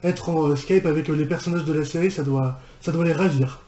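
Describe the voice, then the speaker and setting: A man with a fairly high, mellow voice hosts a casual reaction commentary. He rambles and circles back on his words, recorded in an echoey room. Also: fairly quick. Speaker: reaction video host and fan dubber.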